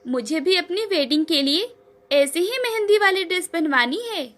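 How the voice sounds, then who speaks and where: Woman playing an excitable high-pitched girlfriend. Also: normal speed. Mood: admiring. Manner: gushing, drops English words. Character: admiring, excitable young female friend, a bride-to-be.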